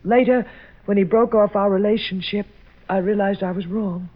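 A woman's voice: medium pitch, fairly even intonation, normal speed, natural voice. An actress playing an earnest, defensive young woman under suspicion, proper and pleading, in an old radio drama. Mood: confessing. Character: earnest, defensive young female murder suspect, lovelorn. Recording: dull vintage radio recording.

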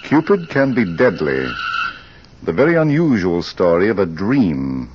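Misterioso bibliotecario, voce molto grave. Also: male voice, sits low, sing-song. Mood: eerily welcoming. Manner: theatrical, measured, confiding. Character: mysterious male librarian host of a crime-story club. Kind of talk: 1940s radio mystery narration. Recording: muffled, hissy old radio recording.

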